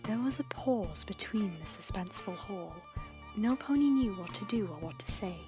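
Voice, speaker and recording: A woman's voice: medium pitch, animated, moderate pace. Woman narrating a dramatic story over music.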